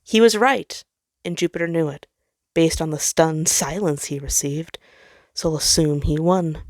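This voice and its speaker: Woman, lowish voice, dramatic story narration, close dry recording. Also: measured pace, darkish tone, no room sound. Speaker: fan fiction reader, narrator.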